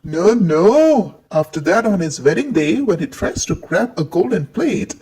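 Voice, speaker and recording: Knowing storybook bird, a woman acting: husky, rough-edged, animated delivery, grimly foretelling doom with emphasis. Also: lowish voice, a little slow, voice pushed down, full character voice. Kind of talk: acted storybook dialogue.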